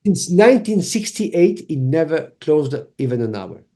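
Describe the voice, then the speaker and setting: A man with a French accent, a native French speaker from Cameroon, talking casually as a podcast guest and telling things in an animated way. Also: a little high, unhurried. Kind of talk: casual conversational interview.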